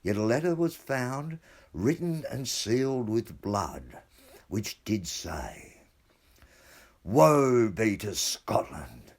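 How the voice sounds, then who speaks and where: A man giving a measured, theatrical reading of an old ghost account, in a deliberate storytelling manner. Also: lowish voice, big pitch swings. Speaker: reader of a literary work.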